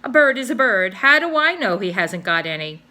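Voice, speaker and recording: Lady playing a nervous picky hotel clerk guy, suspicious, pitched up and bright, storybook reading. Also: sing-song, moderate pace. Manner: suspicious, hesitant, fretful. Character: wary, fussy male hotel desk clerk.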